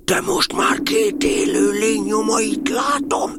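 A man playing a slow, solemn, mysterious bear in a storybook audio drama. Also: sits high, loud music behind the voice. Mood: solemn wonder. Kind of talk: Hungarian audio drama acting.

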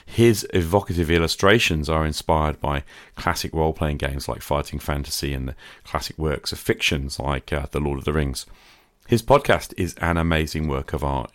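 Very deep-voiced British man, dry sound. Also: no room sound. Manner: rambling, self-deprecating, many fillers. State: getting over a cold. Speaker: podcast host. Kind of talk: casual podcast chat.

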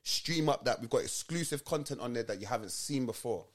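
A man's voice: medium pitch, lively intonation, a little fast. A young man hosting a casual podcast, full of slang like "you get me". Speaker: podcast host. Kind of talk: casual chat.

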